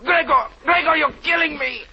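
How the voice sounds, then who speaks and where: A man's voice: extremely high pitch, moderate pace, creaky. An actor playing a cruel, deceitful male impostor in a melodramatic 1940s radio mystery. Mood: desperate pleading. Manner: melodramatic, pleading turning threatening. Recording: muffled old radio broadcast.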